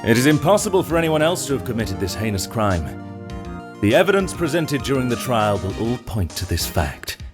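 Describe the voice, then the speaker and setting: A man acts out a stern, self-assured video game prosecutor. His formal speech swings expressively in pitch. Music is clearly heard behind him. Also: sing-song, a little fast, close to an everyday voice. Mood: confident, stern. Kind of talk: video game fan dub.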